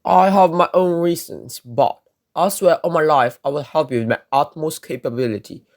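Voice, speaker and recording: A man's voice: on the high side, normal speed, close to an everyday voice. A man voicing a welcoming, scheming lady of the manor in a radio drama, polite and coaxing. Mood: earnest.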